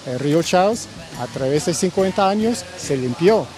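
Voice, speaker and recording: A man's voice: a little high, lively intonation, normal speed, darkish tone, soft background music. Older American man, reflective. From Massachusetts, United States. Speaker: environmental volunteer. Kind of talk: interview.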